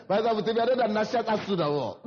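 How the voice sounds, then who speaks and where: High-voiced preacher in a reverberant hall. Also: male voice, expressive, a little fast, slight background noise. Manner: preaching with rising emphasis and repetition. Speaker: pastor. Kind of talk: sermon. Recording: dull lo-fi hall recording.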